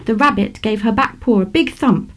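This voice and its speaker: A woman with a British accent reading a children's story aloud in an expressive storytelling manner. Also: fairly high pitch, normal speed. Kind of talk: scripted children's story narration.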